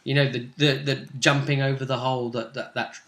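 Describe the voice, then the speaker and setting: A man's voice: mid-range voice, level tone, fairly quick, a bit bright. A man chatting casually as a tabletop game master, blunt and given to swearing. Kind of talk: casual chat recapping a tabletop role-playing session. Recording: roomy, echoey indoor recording.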